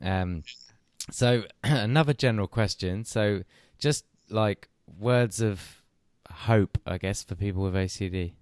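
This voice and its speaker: Male interviewer, lowish smooth clear voice, tentative casual phrasing, dry sound. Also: slow pace, a little mellow, dry recording.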